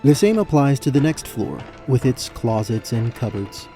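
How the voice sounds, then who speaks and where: A man serves as narrator, reading scripted fantasy prose. His voice is relaxed and mellow, sitting at a medium pitch. Soft music plays faintly behind the storytelling. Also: moderate pace.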